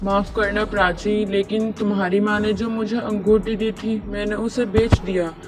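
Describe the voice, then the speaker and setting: A man's voice: high-pitched, level tone, moderate pace, natural voice. A man voicing an earnest, struggling young husband in a Hindi cartoon story, with music behind him. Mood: apologetic.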